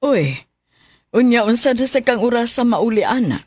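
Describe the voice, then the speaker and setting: A woman's voice: a little high, sing-song, moderate pace, a little mellow, husky. A woman with a Cebuano accent playing a careworn mother of a poor family in a radio drama. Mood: wary.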